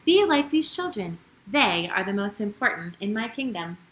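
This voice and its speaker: Woman voicing gentle storybook teacher, welcoming, earnest, kindly, bright tone, echoey room. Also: medium pitch, sing-song, moderate pace, a little hiss or hum. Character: gentle, welcoming adult man, loving teacher. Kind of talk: children's storybook read-aloud.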